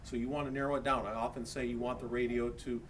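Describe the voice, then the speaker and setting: A man's voice: medium pitch, flat delivery, fast talker. A man with an American accent explains products informally and plainly, like a shop salesman. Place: electronics store. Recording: distant mic, faint background noise.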